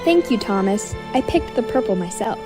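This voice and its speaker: Woman voicing female steam engine, gracious, gentle, mid pitch, loud music underneath. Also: lively intonation, normal speed, natural voice. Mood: friendly. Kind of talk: comic dub voice acting.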